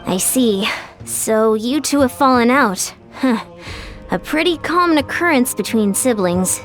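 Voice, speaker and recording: A plainspoken young warrior woman in a game, with a bright, high pitch. She talks frankly and briskly, getting straight to the point. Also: expressive, soft background music. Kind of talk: video game voice acting.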